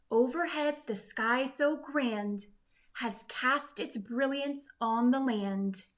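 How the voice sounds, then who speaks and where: A woman reads a rhyming picture book aloud for children. Her voice is fairly high, and she takes her time with an expressive, measured storyteller's delivery.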